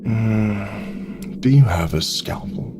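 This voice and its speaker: An actor playing a sinister, manipulative talking pig in an audio drama, coaxing and commanding in a deliberate, gravelly voice. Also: male voice, fairly low pitch, expressive. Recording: music under the voice.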